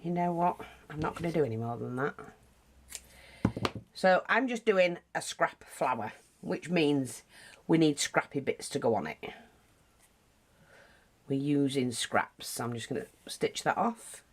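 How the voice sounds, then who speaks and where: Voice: mid-range voice, expressive, measured pace, long pauses. A craft teacher with a British accent, nose bunged up, chatting casually through a tutorial with frequent asides. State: blocked nose.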